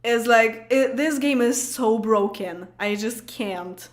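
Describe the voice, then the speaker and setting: A woman's voice: fairly high pitch, takes it slowly. Giggly Polish woman chatting on stream. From Poland. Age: late 20s. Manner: chatty, giggly, many fillers and restarts. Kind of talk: casual livestream chat reading comments.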